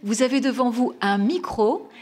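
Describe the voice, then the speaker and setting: A woman moderating a conference panel, inviting the audience to ask questions in a lively, playful way. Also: a little high, normal speed.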